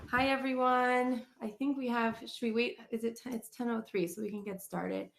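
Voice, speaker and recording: A woman hosting a nonprofit webinar, chatty and halting with many fillers, congested from a cold, over a video call. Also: fairly high pitch, fairly even intonation, vocal fry, reverberant, slight background noise. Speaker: nonprofit host. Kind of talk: webinar introduction.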